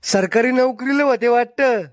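A man with a rural Maharashtra Marathi accent voicing a grumpy, scolding old village man in a comic cartoon, pitched up. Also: normal speed, no room sound, dull audio. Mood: curious. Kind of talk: comic cartoon skit dialogue.

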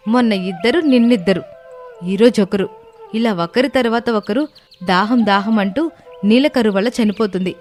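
A woman acts a bold young village daughter-in-law in a story cartoon. She is outspoken, earnest and dramatic, with a mid-pitched voice over clear background music. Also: normal speed, darkish tone, lightly acted. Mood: accusing.

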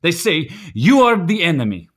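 An actor playing a fiery, wounded Slovak immigrant night watchman in a radio play, with a Slovak accent and halting, broken phrases. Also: a little high, big pitch swings, a bit bright. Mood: angry.

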